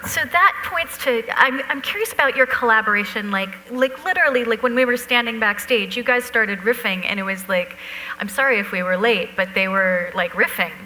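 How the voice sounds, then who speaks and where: A woman moderating a live interview, asking questions in an informal, halting, casual way. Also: a little high, sharp tone. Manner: informal, halting, peppers speech with "like". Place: stage at a live talk event. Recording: echoey hall, faint background hum.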